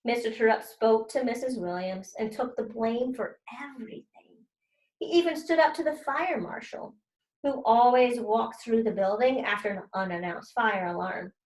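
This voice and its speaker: A woman with a fairly high voice leads a children's read-aloud as a teacher. She explains simply and speaks straight to her listeners, in a noticeably echoey room. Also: normal speed, a little mellow. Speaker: teacher reading aloud to students. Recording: roomy, echoey sound.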